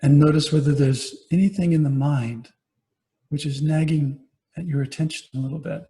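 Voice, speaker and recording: A man guiding a meditation as a teacher, giving gentle, unhurried instructions with pauses between phrases. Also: on the high side, steady tone. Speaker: meditation teacher, Buddhist monastic. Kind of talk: guided body scan meditation.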